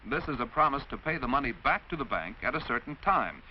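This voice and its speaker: An American man reads a scripted mid-century instructional film narration in a didactic, measured, clearly enunciated way. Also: mid-range voice, very animated. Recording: dull, noisy vintage film soundtrack.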